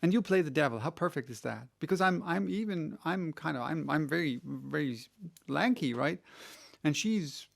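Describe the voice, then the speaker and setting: A middle-aged man with a gravelly, fry-edged voice talks loosely and at length. He restarts sentences often and lifts into an animated tone when he retells what someone said. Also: fairly high pitch, moderate pace. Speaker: audiobook reader answering questions. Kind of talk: casual monologue.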